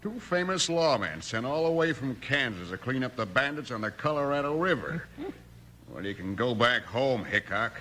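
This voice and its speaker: A surly American outlaw, tough-talking and grudging, with a very sharp, forceful edge to a medium-pitched voice. The delivery is 1950s Western radio acting. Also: male voice, lively intonation, normal speed, a little hiss or hum. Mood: sneering, defiant. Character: surly, sneering male outlaw gunman.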